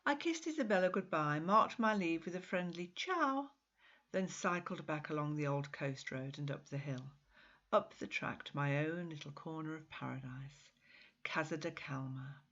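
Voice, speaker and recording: Low, husky woman reading a story aloud. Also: normal speed, fairly bright tone, dull audio. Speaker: author reading own short story. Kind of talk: expressive literary narration.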